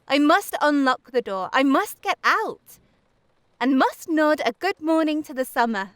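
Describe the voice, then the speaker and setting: A woman's voice: sits high, expressive, measured pace, a bit bright, no echo. A woman voicing an eager, yearning young female snowdrop flower in a storybook, with longing exclamations.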